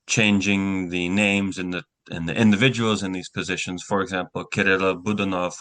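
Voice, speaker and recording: A man's voice: low voice, sharp tone. A man in his thirties from the United States, whose first language is Ukrainian, giving methodical interview answers as a guest journalist. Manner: methodical, lists things item by item.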